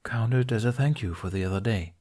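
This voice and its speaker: A man's voice: on the low side, a little fast, a little mellow, lightly acted. A man voicing a cheeky, skinny, laid-back boy who talks in a casual, teasing drawl, in an audio story.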